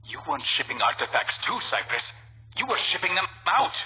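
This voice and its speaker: A man's voice: a little high, sing-song, fairly quick. A man voicing a stern, self-assured medieval assassin in a video game, speaking in a terse, solemn way. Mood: cool, confident. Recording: echoing, tinny band-limited game audio, faint noise.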